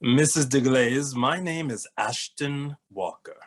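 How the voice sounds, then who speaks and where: A man's voice: mid-range voice, a little hiss or hum, close to an everyday voice. Man as formal, earnest young detective, mid-range. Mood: polite. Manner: formal, measured. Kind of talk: animated comedy table read.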